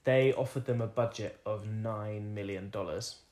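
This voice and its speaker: A man with a croaky voice, co-hosting a casual film review podcast and rambling through anecdotes with frequent ums and laughs. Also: lowish voice, steady tone, normal speed, a little mellow. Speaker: podcast co-host.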